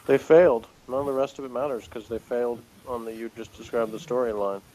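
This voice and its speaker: A man's voice: medium pitch, fairly even intonation, fast talker, dark tone, slight background noise, rough recording. A man co-hosting a casual review chat, commenting in a dry, blunt way.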